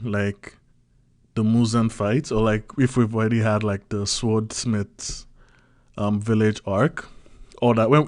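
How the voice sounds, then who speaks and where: Nigerian man, casual vlogger, low-pitched, dry read, rambling chatty delivery. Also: no room sound. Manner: chatty, loose, peppers talk with "like" and "right?". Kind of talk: casual solo commentary.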